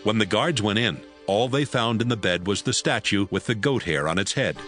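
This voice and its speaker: A man's voice: fairly low pitch, expressive, normal speed, a bit bright, faint music behind. A man narrating a dramatized scripture audiobook.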